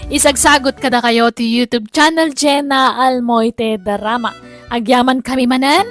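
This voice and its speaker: A woman's voice: on the high side, steady tone, measured pace. A woman with a Filipino accent reading a scripted introduction as a drama programme announcer. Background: music.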